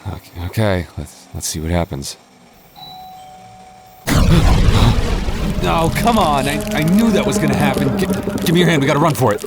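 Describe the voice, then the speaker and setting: A man's voice: fairly high pitch, sing-song, heavy background noise. A man playing an anxious, protective goth boyfriend in an audio roleplay, stammering and wry as he talks closely to his partner. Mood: panicked. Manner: stammering, halting, nervous, wry.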